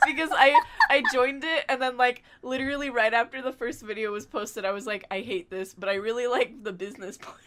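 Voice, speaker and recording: A woman's voice: on the high side, steady tone, brisk, noisy background. A woman guest on a podcast, telling stories in casual, slangy, joking talk. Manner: slangy, jokey storytelling. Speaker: podcast guest, dubbing group organiser. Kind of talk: casual chat.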